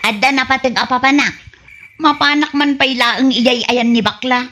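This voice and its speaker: A woman playing an excitable, bickering, scatterbrained wife in an Ilocano radio comedy, exclaiming often in an Ilocano Filipino accent. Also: high-pitched, moderate pace, a little hiss or hum. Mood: flustered, indignant. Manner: exclaiming, animated.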